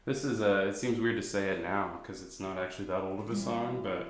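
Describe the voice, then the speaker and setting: Stuffy-nosed male musician, quiet rambling chat between songs, echoey home room. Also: low voice, a little fast, over music. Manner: rambling, self-deprecating, soft-spoken. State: stuffy nose. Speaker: singer-songwriter performing live. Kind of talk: casual between-song chat. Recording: roomy echo.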